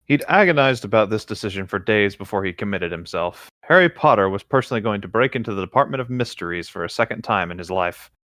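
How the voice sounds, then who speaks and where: A man's voice: lowish voice, lively intonation, fast, hardly any pauses, a bit bright, no echo, clean studio sound. A man co-hosting a casual read-aloud of fan fiction, with chatty side remarks.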